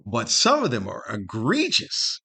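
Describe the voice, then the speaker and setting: A man's voice: mid-range voice, wildly swooping pitch, unhurried, bright, forceful tone, husky. A middle-aged man hosting a solo podcast, giving humorous, opinionated commentary with a grumbling, incredulous manner full of rhetorical questions. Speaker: podcast host.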